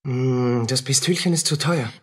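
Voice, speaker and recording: A woman playing a haunted, jealous downtrodden soldier in a radio play, halting and brooding, with a breathy, husky voice. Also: extremely low pitch, full character voice. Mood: grim. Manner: halting, brooding, broken phrases. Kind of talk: German radio play acting.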